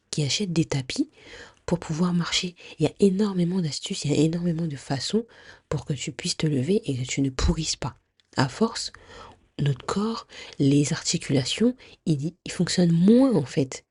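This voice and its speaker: A woman's voice: deep voice, a little mellow, husky, no room sound, studio quality. A woman hosting a podcast, chatting directly to the listener with a stuffy nose, many fillers and restarts. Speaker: podcast host. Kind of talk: casual advice podcast monologue.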